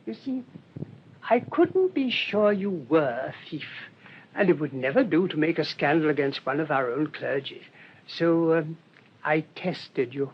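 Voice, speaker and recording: An actor playing a mild, shrewd English priest in a radio drama, speaking in a gentle, rambling, politely hesitant way. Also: male voice, lively intonation, unhurried. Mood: calm, quietly confident. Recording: dull lo-fi radio recording, rumbling noise.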